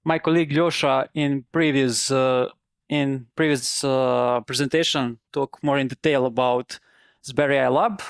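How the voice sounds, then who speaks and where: A man's voice: mid-range voice, fairly even intonation, a little slow, clear, resonant tone, no room sound, clean studio sound. A man with a Serbian accent, a native Serbian speaker, giving a technical conference talk in careful non-native phrasing, explaining step by step.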